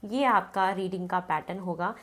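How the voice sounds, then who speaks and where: A woman's voice: lowish voice, moderate pace, fairly bright tone. A woman with a cold and a blocked nose, giving a casual tarot reading to the camera. She talks in a chatty way, repeats her phrases and chants between the cards. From North India. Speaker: tarot card reader.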